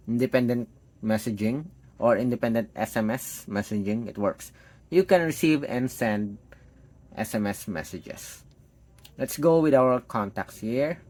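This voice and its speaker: A man's voice: mid-range voice, a little mellow. A man giving an unscripted, step-by-step hands-on product demo, thinking aloud as he tests. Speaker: tech product reviewer.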